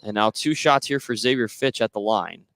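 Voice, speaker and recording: A man's voice: fairly low pitch, moderate pace, a bit bright, no room sound. American man calling live basketball play-by-play. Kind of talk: live sports radio commentary. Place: indoor basketball gym during a game.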